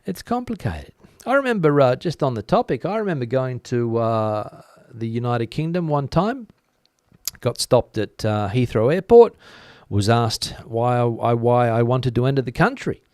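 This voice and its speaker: A man's voice: medium pitch, big pitch swings, normal speed, darkish tone, no room sound, clean studio sound. A man hosting a live stream, talking through the news in a casual, conversational way and musing as he answers viewers. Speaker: host of a live commentary stream. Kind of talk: casual news commentary, reading out viewer comments.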